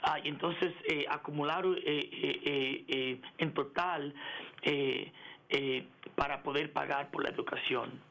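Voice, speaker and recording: A middle-aged man in a radio interview, speaking haltingly with many fillers and restarts and slipping into English words. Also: a little high, steady tone, creaky, bright, forceful tone, poor audio. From United States. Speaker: interview guest, engineering executive. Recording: radio broadcast.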